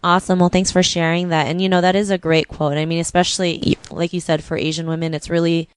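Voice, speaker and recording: Woman podcast host, kinda low voice, smooth, casual chatty vibe w lots of fillers. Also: level tone, fast talker, no echo. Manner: friendly, leans on "you know" fillers. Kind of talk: casual interview chat.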